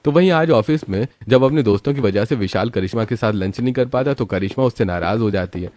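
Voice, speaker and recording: A man's voice: mid-range voice, fast, a little mellow. A man reading scripted story narration as the storyteller.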